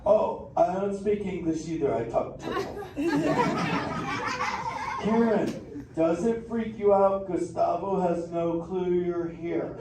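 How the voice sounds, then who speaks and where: A mellow surfer-dude sea turtle, high and bouncy, drawling slang, echoey theater from afar, noisy room. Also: male voice, distant mic, full character voice. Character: laid-back surfer sea turtle, male, easygoing. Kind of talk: live interactive theater show.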